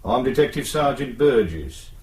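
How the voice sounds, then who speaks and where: A man's voice: medium pitch, lively intonation, normal speed, breathy edge. An actor playing a world-weary, sardonic English detective sergeant in his forties in a radio drama. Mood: formal, placating. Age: 40s.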